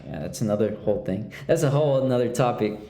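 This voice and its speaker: A young man hosting a casual interview, talking in halting phrases with many restarts. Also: mid-range voice, lively intonation, warm tone, echoey room, slight background noise. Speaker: podcast host.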